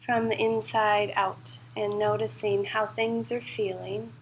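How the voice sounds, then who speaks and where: A woman's voice: medium pitch, flat delivery, rough recording. Soothing woman guiding a meditation. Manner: soothing, unhurried, reassuring. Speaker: meditation and yoga teacher. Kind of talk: guided meditation, spoken live to a class.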